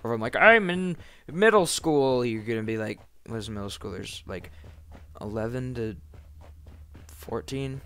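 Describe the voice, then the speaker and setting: A man's voice: mid-range voice, normal speed, no echo. Congested, scratchy-voiced teenage male gamer. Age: teens. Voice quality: nasal, scratchy from being sick. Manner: animated, rambling, breaks into shouting and muttering. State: sick, congested voice with cracks. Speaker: gaming YouTuber. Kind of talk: excitable gameplay commentary, casual chat. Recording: clear background noise, clicks.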